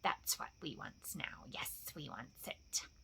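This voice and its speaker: A woman's voice: extremely high pitch, animated, slow pace, pushed and bright, voice pushed up, heavily acted. A woman voicing a wretched, wicked male cave creature in a storybook, with a hissing, whispery voice that breaks into shrieks, muttering obsessively in broken grammar. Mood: secretive, gloating. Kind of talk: storybook character voice.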